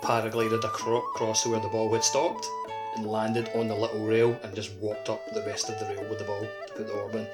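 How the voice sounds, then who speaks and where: A man co-hosting a casual gaming podcast, talking haltingly and repeating himself with lots of filler. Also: fairly low pitch, completely flat delivery, over loud music. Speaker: podcast co-host.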